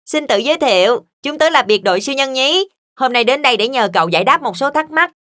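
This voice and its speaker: A polite, eager child leader in a kids' superhero cartoon. The voice sits somewhat high, with a crisp bright edge and a dry, close sound. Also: female voice, lively intonation, fast, no echo.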